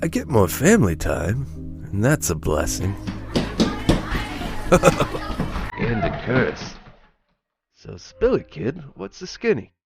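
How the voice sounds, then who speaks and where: A man's voice: mid-range voice, wildly swooping pitch, slow, vocal fry, long pauses, over music, full character voice. A man playing an older retired police detective in a noir audio drama, blunt and paternal, using old-time slang. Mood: jovial, teasing. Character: gruff, fatherly retired male police detective.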